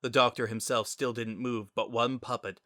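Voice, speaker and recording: A man's voice: medium pitch, steady tone, moderate pace, bright, forceful tone, no room sound, clean studio sound. A man narrating a story as a dramatic scripted reading.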